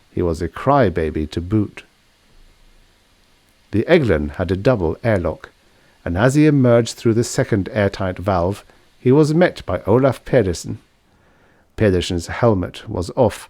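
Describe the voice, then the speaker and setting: A man's voice: fairly low pitch, darkish tone, no echo. A man reading a vintage science fiction story as scripted audiobook narration.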